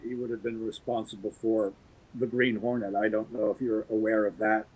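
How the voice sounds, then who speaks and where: A man's voice: on the low side, level tone, normal speed, a little mellow, husky, low-quality recording. A man talking as a guest in a casual podcast chat, rambling and enthusiastic, with many fillers and restarts. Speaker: guest actor.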